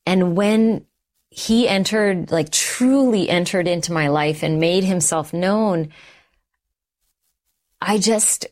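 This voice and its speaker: A middle-aged woman guest giving an earnest, reflective personal testimony in short, measured phrases. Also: lowish voice, a little mellow. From Canada, the United States and Sri Lanka. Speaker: interview guest.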